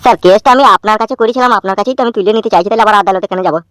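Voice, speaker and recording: A man's voice: sits high, racing, fairly bright tone, dry recording. A man playing an earnest young husband in a comic cartoon, pleading and insistent.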